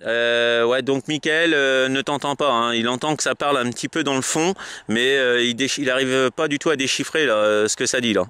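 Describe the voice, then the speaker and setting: A man chatting casually as a radio operator relaying messages, halting and repeating himself as he goes. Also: mid-range voice, bright, forceful tone, slight background noise. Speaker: amateur radio operator relaying between contacts. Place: outdoors.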